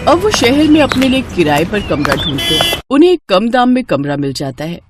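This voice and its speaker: Animated woman storyteller. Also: mid-range voice, a little fast, loud music behind the voice. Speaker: story narrator. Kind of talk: scripted moral-story narration.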